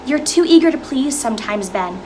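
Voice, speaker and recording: An actress playing a caring, worried wife who gently probes and questions, in a musical theatre table read. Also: female voice, on the high side, animated, brisk, lightly acted. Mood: worried, pleading. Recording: noisy home recording.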